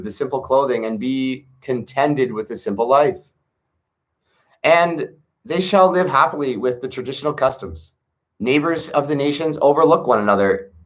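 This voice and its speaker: A man reading a classic text aloud on his front porch, earnest and gentle, with spiritual asides. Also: medium pitch.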